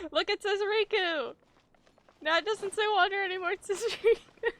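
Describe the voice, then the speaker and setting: A woman's voice: very high voice, slow, fairly bright tone. A woman game streamer reacting casually and expressively in an informal live commentary.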